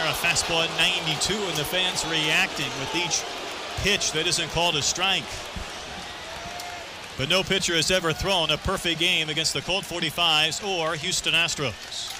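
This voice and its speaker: A male baseball announcer with a high-pitched voice gives live play-by-play from a stadium booth. A loud crowd and heavy background noise fill the broadcast behind him. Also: fairly even intonation, moderate pace. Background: roaring stadium crowd.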